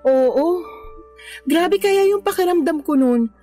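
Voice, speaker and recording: An emotional young girlfriend in a radio drama, her voice high and pleading. Faint music plays under her. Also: lively intonation, slow pace, exaggerated voice. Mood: hurt.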